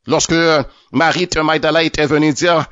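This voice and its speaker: A man's voice: on the high side, steady tone, normal speed, a bit bright, dry recording. A man giving a spoken religious testimony, often stammering over and repeating his words as he goes. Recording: dull lo-fi, dry.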